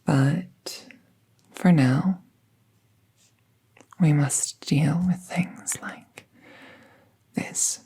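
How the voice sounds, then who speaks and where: Woman acting a slow, hushed, gentle magical vet. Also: low voice, full character voice. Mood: measured, regretful. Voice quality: soft, breathy, half-whispered. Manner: slow, hushed, soothing, reassuring. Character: gentle, calm female magical veterinarian treating a wounded werewolf. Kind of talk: soft-spoken roleplay audio, ASMR.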